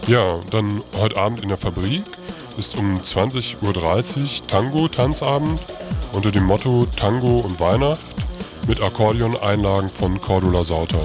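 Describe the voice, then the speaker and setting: Low-voiced man presenting over loud music. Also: moderate pace. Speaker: radio presenter. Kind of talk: informal reading of news, weather and event listings. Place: radio studio. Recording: music playing under the voice.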